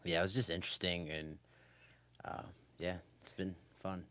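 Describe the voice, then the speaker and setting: A man from the Atlanta area chatting casually as a podcast guest, informal and full of pauses. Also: low-pitched, level tone, bright, forceful tone. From Atlanta area, Georgia, USA. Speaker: podcast guest, music producer. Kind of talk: casual chat about his life.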